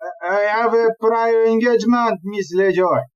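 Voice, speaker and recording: Woman playing an unhurried, suave male police chief inspector. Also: mid-range voice, smooth, lo-fi sound, lightly acted. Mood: composed. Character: philandering, smooth-talking male police chief inspector in Paris. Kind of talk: audio play acting.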